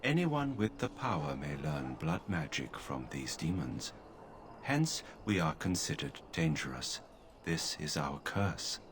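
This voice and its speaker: A mage stripped of all feeling, speaking with calm, formal detachment in a game. The voice sits very low yet moves across a wide span of pitch. A clear layer of background noise runs under it. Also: male voice, unhurried, full character voice. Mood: detached. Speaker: game character.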